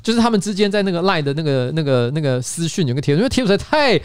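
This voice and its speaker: A man's voice: a little high, very animated, racing, clean tone, no room sound. A man with a Taiwanese Mandarin accent hosting a casual comedic podcast, teasing and mock-scolding as he chats. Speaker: podcast host.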